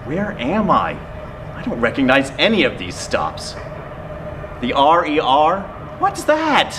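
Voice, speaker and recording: An actor playing an earnest, awkward, adoring physicist suitor in a stage play, eager, literal-minded and theatrical. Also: male voice, a little high, wildly swooping pitch, slow, heavily acted. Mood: bewildered. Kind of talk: live stage play acting. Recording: echoey theatre stage recording, room noise.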